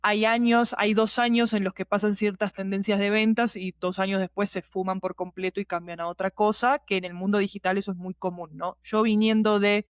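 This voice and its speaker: A woman's voice: medium pitch, steady tone, fairly quick, hardly any pauses, clear, resonant tone, no room sound, studio quality. A woman explaining things in casual, digressive conversation and often checking in with her listener. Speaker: podcast guest, producer and teacher.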